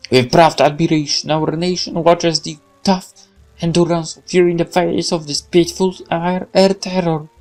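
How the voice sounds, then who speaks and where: A man voicing a grandiose wartime propaganda minister in a game, declaiming a solemn, loud political speech. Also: on the high side, lightly acted. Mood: solemn, proud. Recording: music under the voice.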